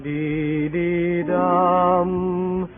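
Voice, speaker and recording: A woman singing slowly in a 1930s radio play. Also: lowish voice, flat delivery. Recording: muffled, band-limited 1930s radio broadcast. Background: faint music.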